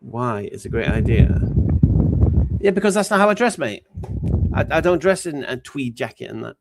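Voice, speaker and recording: A man's voice: mid-range voice, normal speed, vocal fry. A man hosting a casual live stream, reading out viewer questions and answering them bluntly. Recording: steady background noise.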